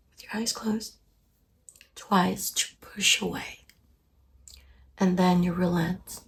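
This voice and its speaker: A soft-spoken female therapist, played by a woman in an ASMR meditation parody. She speaks very slowly and soothingly, often in a breathy whisper with a creaky edge. Her delivery stays calm and deadpan. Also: mid-range voice. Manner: slow, soothing, hushed, deadpan. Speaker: ASMR performer.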